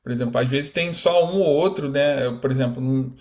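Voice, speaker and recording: A Brazilian man in his thirties from Rio, a guest talking casually in an interview, animated and full of fillers. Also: medium pitch, a little fast. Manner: animated, quotes imagined speech, many fillers.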